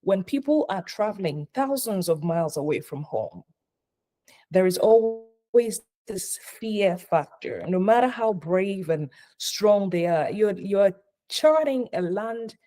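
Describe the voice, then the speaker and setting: A middle-aged woman who grew up in Ghana speaks as a hospitality consultant in a live online session. Her voice is mellow and warm, and she sits at an ordinary pitch for a woman. She talks earnestly and circles back to repeat her points. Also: expressive, slow.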